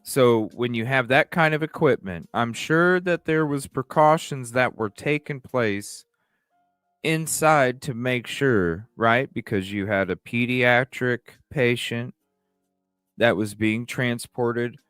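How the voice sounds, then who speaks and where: A man's voice: medium pitch, takes it slowly, a bit bright, clear, resonant tone, dry recording, soft background music. A man hosting a livestream with casual, speculative commentary, repeating phrases and asking rhetorical questions. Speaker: livestream host.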